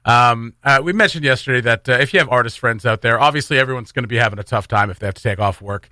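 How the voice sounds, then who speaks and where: A man's voice: mid-range voice, quick pace, bright, forceful tone, dry recording, clean studio sound. A man hosting a morning radio show with casual, joking, rambling banter and announcements. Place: radio studio.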